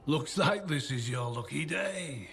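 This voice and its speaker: Folksy old survivor, noisy background. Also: male voice, on the high side, lively intonation, measured pace, a bit bright, exaggerated voice. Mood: boastful, folksy. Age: older. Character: weathered old survivor, boastful yet haunted. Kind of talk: video game dialogue acting. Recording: clear ambient noise behind the voice.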